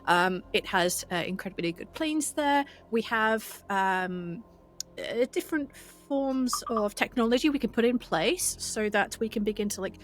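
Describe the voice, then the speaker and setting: A woman improvising an earnest, idealistic spokeswoman for an anti-capitalist group in a tabletop roleplay, speaking haltingly and deliberately. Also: on the high side, expressive, a little hiss or hum. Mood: earnest, diplomatic.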